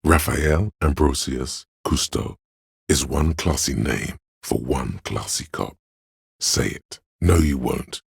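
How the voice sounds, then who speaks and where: A man playing the pretentious, wry inner voice of an art-loving detective in a game, narrating in a theatrical, deliberate, literary manner. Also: no echo, studio quality, heavily acted. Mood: grandly coaxing. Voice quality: very deep, gravelly, husky. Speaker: game narrator voicing a character's thoughts.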